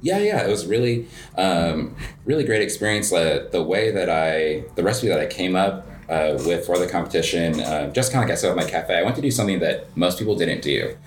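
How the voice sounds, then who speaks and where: A man with an American accent and a low-pitched voice talks as a guest in a casual interview. He explains things informally and loosely, wandering through his points with plenty of "like" along the way. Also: lively intonation, a little fast. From United States. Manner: rambling, informal, peppered with "like". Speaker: interview guest, coffee shop owner. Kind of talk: casual conversational interview answers.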